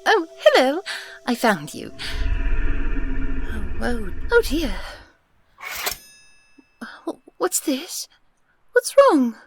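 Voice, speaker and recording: A woman's voice: high voice, big pitch swings, very slow, long pauses, soft background music, exaggerated voice. A woman voicing a small, naive fairy girl in an audio roleplay, flustered, stammering and pleading.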